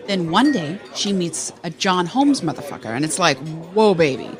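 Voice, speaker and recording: A woman's voice: on the low side, big pitch swings, moderate pace, music in the background. A woman playing a foul-mouthed, bossy crime boss in an audio drama, talking crudely. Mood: crude, animated. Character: foul-mouthed brash crime boss holding forth at breakfast. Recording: chatter of a crowd behind.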